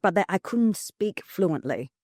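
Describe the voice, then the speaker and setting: A woman, talking candidly as an interview guest with quick self-deprecating asides. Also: mid-range voice, expressive, darkish tone, no room sound, studio quality. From Hong Kong. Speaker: guest, prosthodontist. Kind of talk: casual interview conversation.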